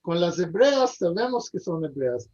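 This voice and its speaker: A man being interviewed over a video call, speaking haltingly with many pauses, fillers and repeated words. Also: high-pitched, very animated, a little mellow. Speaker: interview guest. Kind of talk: hesitant conversational interview. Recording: dull lo-fi video call audio.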